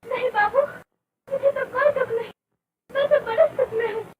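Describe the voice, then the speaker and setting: Sad pleading young woman, old movie melodrama, super high voice, dull noisy soundtrack. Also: fairly even intonation, normal speed, reverberant, distant mic. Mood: evasive, subdued. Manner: plaintive, pleading. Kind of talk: old Hindi film melodrama acting.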